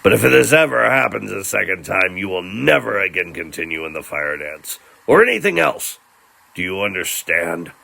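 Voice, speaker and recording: A man performs a cruel fantasy king in a dramatic story. The voice is low and rough, with gravel in it. He is imperious and disdainful, and every phrase carries a threat. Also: big pitch swings, normal speed, bright, forceful tone, exaggerated voice. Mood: threatening.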